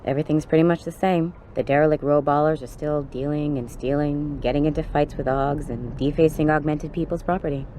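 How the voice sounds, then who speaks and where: Game cutscene policewoman, played by an actress: deep female voice, streetwise and blunt, faint noise behind. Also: fairly even intonation, fairly quick, few pauses, warm tone, close to an everyday voice. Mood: blunt, conspiratorial. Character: jaded, foul-mouthed undercover policewoman, old colleague. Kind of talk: video game cutscene acting.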